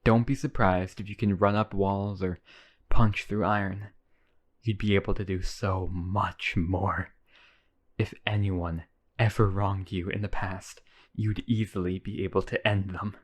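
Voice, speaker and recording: A man's voice: sits low, flat delivery, unhurried. A man playing an obsessively devoted, centuries-old vampire brother in a boyfriend roleplay, earnest and pleading. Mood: softly menacing.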